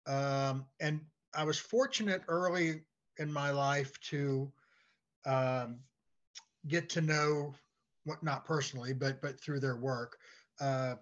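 An older man chatting as an interview guest, halting and repeating words as he searches for his phrasing. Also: mid-range voice, fairly even intonation, a bit bright. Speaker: guest, playwright and comedy writer. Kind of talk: casual interview chat. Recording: dull, lo-fi voice track.

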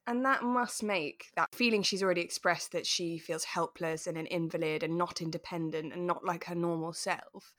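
A woman co-hosting a podcast, chatting in a relaxed, explanatory way with playful asides. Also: lowish voice, moderate pace, hardly any pauses, dry recording, clean studio sound. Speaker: podcast co-host. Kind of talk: relaxed casual discussion of a radio drama.

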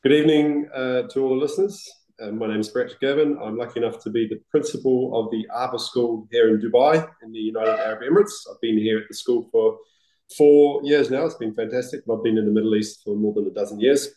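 A man's voice: medium pitch, steady tone, fairly quick, dark tone, roomy sound, low-quality recording. A man with a New Zealand accent, a school principal introducing himself on a podcast interview.

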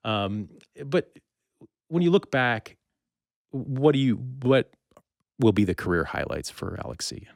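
A man's voice: medium pitch, sing-song, unhurried, vocal fry, long pauses, dry recording, studio quality. A North American man hosting a casual interview, chatting informally and telling anecdotes. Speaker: podcast host interviewing a guest.

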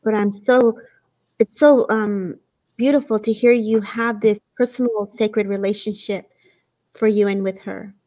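A woman hosting a conversational interview, speaking in a gentle, openly appreciative way. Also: mid-range voice, fairly even intonation, measured pace, poor audio. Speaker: host.

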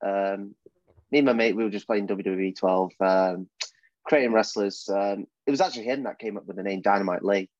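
A young British man answers questions in a casual podcast, hesitant and full of "um"s and "like"s. His voice sits a little low and is recorded dry and close, with a dull, lo-fi tone. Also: fairly even intonation, brisk, a little mellow, no echo. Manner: hesitant, many fillers and restarts. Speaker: wrestler guest.

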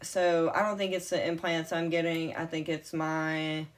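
Casting: woman, late 20s, slight lisp, lowish voice, animated jokey co-host banter. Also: normal speed. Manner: animated, jokey, swings loud and quiet. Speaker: podcast co-host. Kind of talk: casual chat.

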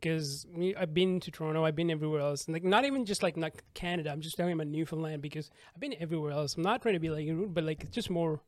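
A man's voice: fairly high pitch, fast talker, hardly any pauses, no echo. A thirty-year-old man from Sri Lanka, chatting casually as a podcast guest.

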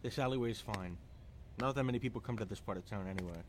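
A man's voice: fairly low pitch, very fast, a little hiss or hum, close to an everyday voice. A man playing an angry, suspicious best friend in an audio drama, curt and confrontational. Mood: curt, tense.

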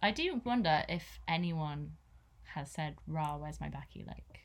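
A young English woman in her twenties co-hosting a casual podcast, dry and self-mocking with quick asides. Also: deep voice, expressive. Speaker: podcast co-host. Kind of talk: casual chat.

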